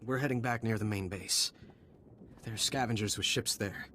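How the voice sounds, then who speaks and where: A man's voice: on the low side, normal speed, sharp tone, a little hiss or hum. An actor playing a weary, defensive male soldier in a video game, with a stammering, pleading manner. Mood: subdued, pleading. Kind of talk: dramatic video game dialogue.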